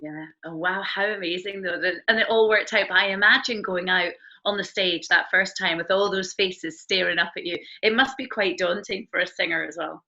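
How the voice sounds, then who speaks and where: A woman's voice: on the low side, steady tone, normal speed, few pauses, bright, forceful tone. A woman asking casual questions as a radio interviewer over a video call. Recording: dull, lo-fi video call audio.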